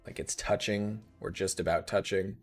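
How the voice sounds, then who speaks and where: Relaxed man demoing software live. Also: sits low, normal speed, soft background music. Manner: relaxed, thinking aloud with fillers. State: slightly rough voice. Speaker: host.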